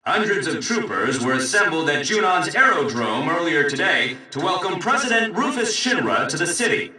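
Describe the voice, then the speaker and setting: A man's voice: on the high side, big pitch swings, moderate pace, sharp tone, full character voice. An actor playing a formal, upbeat male news announcer with polished delivery in a game. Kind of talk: formal news broadcast narration. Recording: echoey public-address sound, distant.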